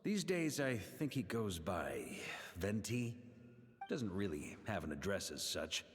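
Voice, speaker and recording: An actor playing an easygoing grand master of knights in a video game, casual and offhand, in an echoing recollection. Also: male voice, lowish voice, very animated, a little slow. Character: easygoing, gruff grown male knight commander, a grand master. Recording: long reverberant echo on the voice.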